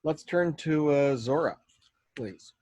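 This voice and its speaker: Slow-speaking man chairing a meeting. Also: a little high, a little mellow, dry recording. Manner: measured, brief remarks before calling the next speaker. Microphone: video call.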